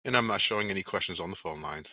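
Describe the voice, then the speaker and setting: A man's voice: sits low, rapid-fire, dry recording, lo-fi sound. A man working as a conference call operator, giving scripted instructions in a formal, routine way.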